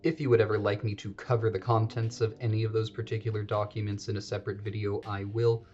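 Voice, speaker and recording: A man's voice: fairly low pitch, little pitch movement, quick pace, darkish tone, highs cut off. A man hosting an educational podcast, talking through history in a chatty, digressive way and correcting himself as he goes. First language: English. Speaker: podcast host. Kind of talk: casual educational monologue.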